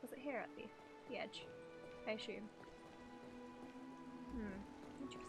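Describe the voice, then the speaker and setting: A young woman giving casual, giggly live reactions as she streams a game, rambling in an animated way. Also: on the high side, measured pace, creaky. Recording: loud game music behind.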